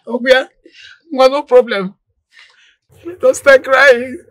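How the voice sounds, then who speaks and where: A woman's voice: high voice, steady tone, takes it slowly, dry recording. An actress playing a middle-aged mother with a Nigerian accent who scolds and then soothes her heartbroken daughter with pleading repetitions. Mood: scolding. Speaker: mother of the heroine. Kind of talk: film drama acting.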